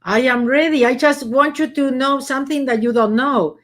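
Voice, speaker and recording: A woman from Argentina speaks English with a Spanish accent, her voice sitting a little high. She tells her stories in a loose, chatty way, full of fillers and easy laughs. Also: fairly even intonation, normal speed. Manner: warm storytelling with frequent laughs and fillers. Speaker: guest author. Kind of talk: casual interview chat.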